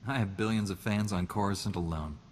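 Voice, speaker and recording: A man's voice: fairly low pitch, fairly quick, big-room sound, slight background noise, close to an everyday voice. A man playing a stern, dry-witted knight. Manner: curt, dry comebacks. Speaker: player character in a video game. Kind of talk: video game character dialogue.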